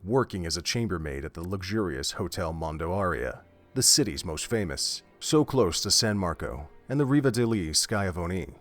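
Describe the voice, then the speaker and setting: A man narrating a scripted story in a measured, steady way. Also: deep voice. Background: faint music.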